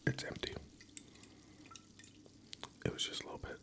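A young adult whispering crude, rambling chat close to a studio microphone at home, a little tipsy. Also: moderate pace. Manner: profane, rambling, joking. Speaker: ASMR creator. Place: home room. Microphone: studio USB microphone.

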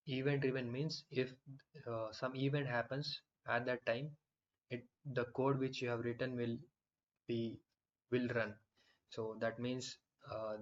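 A man explaining software in a screen-recorded tutorial, with frequent fillers and restarts. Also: mid-range voice, highs cut off.

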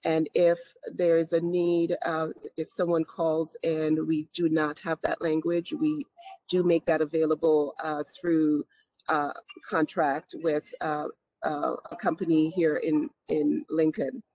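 A county health department representative speaking on a video call panel, measured, with frequent "uh" pauses. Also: mid-range voice, flat delivery, darkish tone, no room sound, highs cut off.

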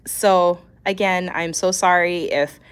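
A woman hosting a weekly nail art livestream, nasal and congested from a cold, with a scratchy voice, chatting casually and clearing her throat now and then. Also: on the low side, slow.

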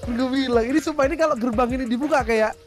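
A man's voice: very high voice, fast. A man in casual gameplay banter, giving slangy, repetitive instructions with little vocal sound effects. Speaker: gaming companion. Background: music.

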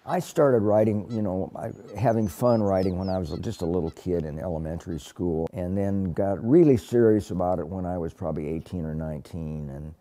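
A man in his seventies, a poet, telling stories in a reflective, unhurried way in a documentary interview. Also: deep voice, mellow. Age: 70s. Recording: music playing under the voice. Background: guitar music.

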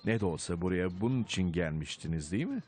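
A man's voice: sits low, expressive, fast, a little mellow. A man voicing a kindly, mild, fatherly older rabbit in a children's cartoon dubbed in Turkish. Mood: gently advising. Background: music.

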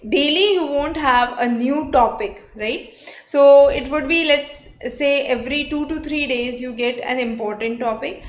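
Indian woman tutor, high voice, echoey room. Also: a little slow. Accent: Indian English. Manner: explanatory, frequent "uh" fillers, talks with hands. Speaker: exam coaching tutor. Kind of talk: live exam-prep lecture. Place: studio, seated before a screen backdrop.

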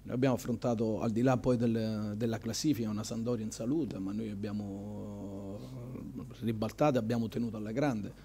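A football coach in his forties speaks at a table microphone in a press room after a match. His voice is mellow and dark, and he answers in a measured, thoughtful way with frequent pauses and fillers. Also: lowish voice, fairly even intonation, slight background noise. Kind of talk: post-match press conference. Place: press room in front of a sponsor backdrop.

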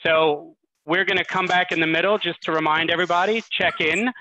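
Bright-voiced man hosting on a dull call. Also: fairly high pitch, fairly even intonation, brisk, slight background noise. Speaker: host of an online charity event. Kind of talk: welcoming announcements to an online audience. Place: indoor room, on a group video call. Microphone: video-call microphone. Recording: dull, muffled video-call audio.

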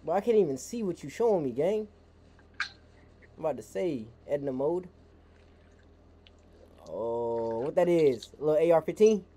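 A man's voice: on the high side, expressive, normal speed, pauses often, mellow, a little hiss or hum. A young American man playfully trolling strangers on video chat, often talking like a mock police dispatcher. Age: young adult. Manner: mock-official police dispatcher talk, exclaiming. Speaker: streamer, prank host.

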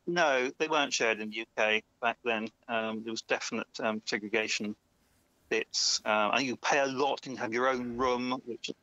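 Bloke calling in, bright tense voice, muffled line, rambles easy. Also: male voice, mid-range voice, fairly even intonation, no echo. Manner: easygoing, anecdotal, slow fillers. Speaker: caller. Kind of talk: casual chat. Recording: dull lo-fi call line.

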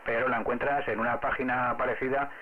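A man's voice: medium pitch, little pitch movement, quick pace. Spanish ham radio man, narrow thin sound. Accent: Spanish from Spain. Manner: explanatory, spells out callsigns in phonetic alphabet. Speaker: amateur radio operator. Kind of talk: casual ham radio contact. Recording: narrow phone-like sound with faint radio noise.

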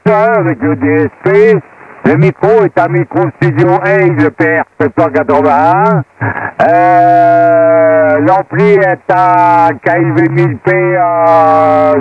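A man from France talking casually and haltingly as an amateur radio operator, through a two-way radio transmission. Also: sits high. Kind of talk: casual radio equipment report. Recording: muffled dry shortwave radio transmission.

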